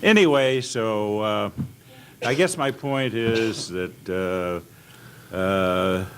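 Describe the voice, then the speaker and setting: An older American man telling anecdotes as a guest on an informal panel, halting and rambling as he reminisces. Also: fairly low pitch. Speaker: panel guest at old-time radio convention. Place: indoor convention panel room. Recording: clearly noisy convention room recording.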